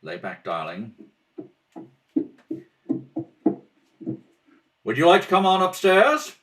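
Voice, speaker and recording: Man playing a wry, lively detective husband. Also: high voice, moderate pace, far from the mic, exaggerated voice. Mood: bold, reassuring. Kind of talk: amateur radio mystery read-through. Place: at a kitchen table at home.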